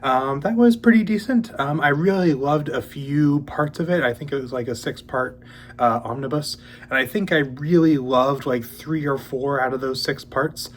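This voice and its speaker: A young man hosting a casual book channel, talking in a relaxed, rambling way with many ums, a little sniffly as he gets over a cold. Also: medium pitch, moderate pace, a little hiss or hum. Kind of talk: casual monthly reading update.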